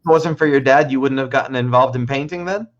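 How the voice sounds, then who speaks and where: A man's voice: medium pitch, brisk. A man hosting a casual livestream interview, asking questions and chatting conversationally. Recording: slightly dull, lo-fi livestream audio.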